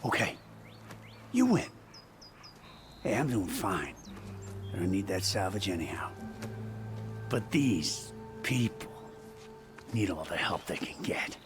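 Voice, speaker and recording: An actor playing a brash, gruff mercenary salvage boss in a video game cutscene. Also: male voice, sits low, sing-song, takes it slowly, long pauses, over music, heavily acted. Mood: grumbling. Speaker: mercenary leader.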